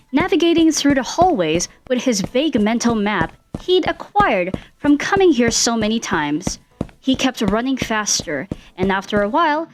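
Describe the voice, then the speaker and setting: A woman reading fan fiction aloud as the narrator, in a scripted storytelling style. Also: a little high.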